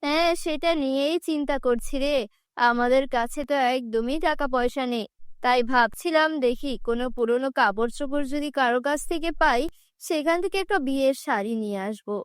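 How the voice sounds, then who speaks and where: A woman's voice: high voice, fairly quick, clear, resonant tone, no room sound, close to an everyday voice. A woman voicing a worried, poor village mother in a cartoon fairy tale, coaxing and apologetic.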